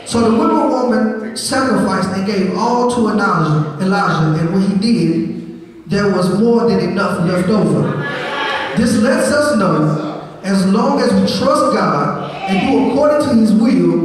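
A man's voice: sits high, far from the mic. Young man preaching in an echoey church. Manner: rising to a sung, shouted close. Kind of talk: sermon. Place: church sanctuary. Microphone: handheld microphone. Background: congregation.

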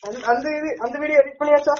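A man's voice: very high-pitched, level tone, racing, darkish tone, slight background noise. A young man chatting casually with his friends. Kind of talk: casual chat. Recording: dull lo-fi recording.

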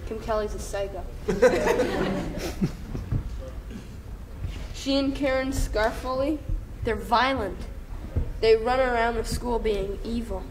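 A woman's voice: on the high side, slow pace, long pauses. A woman reading the part of a small, sulky, geeky teenage brother at a table read. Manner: sulky and complaining. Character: whiny small geeky teen boy. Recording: distant noisy room recording.

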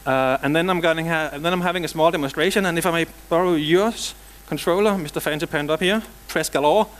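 A man's voice: a little high, fast. A middle-aged man from Denmark with a Danish accent, giving a technical conference talk in a large hall with dry jokes and casual asides. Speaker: conference speaker.

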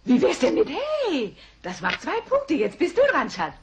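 A woman's voice: high-pitched, huge pitch swings, normal speed, a little hiss or hum. A woman playing a patient, mild middle-aged cartoon mother with a placid manner.

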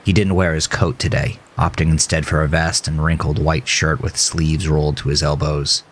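A man's voice: very deep voice, level tone, moderate pace, slight background noise. A man reading scripted, dramatic horror narration as a storyteller.

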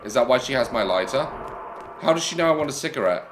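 A man's voice: medium pitch, animated, quick pace, background noise. A man in his thirties commenting jokily on a horror game, yelping at scares, on a headset mic. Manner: jokey, yelps and shouts at scares. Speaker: gaming youtuber.

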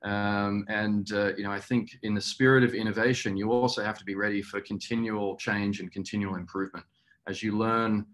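A middle-aged man answering as a company executive in a webinar interview, speaking earnestly and in a measured, halting way. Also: fairly low pitch, little pitch movement, fairly bright tone. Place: small indoor room, video call.

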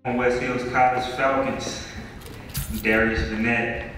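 A man's voice: mid-range voice, flat delivery, slow pace, noisy background. A man, a former college player, giving an earnest video message to players, full of "you know"s. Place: video played on a screen in a dark hall. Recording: echoey hall playback from far off.

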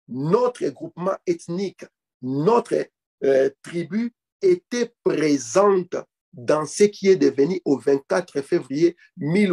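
A man's voice: on the high side, warm tone, husky. A man in his forties, a political activist on a video call, arguing in an emphatic, declamatory way and repeating phrases for emphasis. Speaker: activist guest. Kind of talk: impassioned political argument over video call. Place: indoor room.

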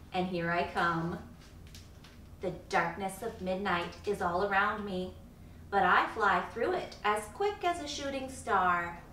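A woman voicing a boastful, hungry little owl in a picture book, with theatrical, pompous, drawn-out emphasis. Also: mid-range voice, pushed and bright. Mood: grandly menacing. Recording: roomy, distant mic, faint background noise.

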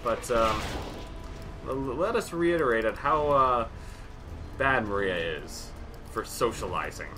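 A man's voice: expressive, unhurried, long pauses. A young man chatting casually and joking while playing a co-op game. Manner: joking, jumps into high mock voices. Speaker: gamer. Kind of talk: casual co-op gameplay chat. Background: game music.

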